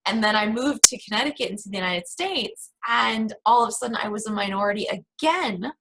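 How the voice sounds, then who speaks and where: A woman's voice: on the high side, animated, fairly quick, a bit bright, low-quality recording. A woman who grew up in the Caribbean, an interviewer chatting and telling a personal story. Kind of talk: conversational interview.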